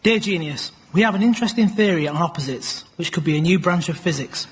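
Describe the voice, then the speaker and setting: A man's voice: sits high, lively intonation, fast talker, slightly rough. A man presenting a tongue-in-cheek theory as a guest on stage. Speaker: guest contestant.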